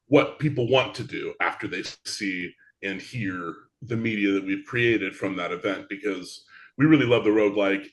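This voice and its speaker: Male theatre creator as interview guest, fairly low voice, measured and explanatory.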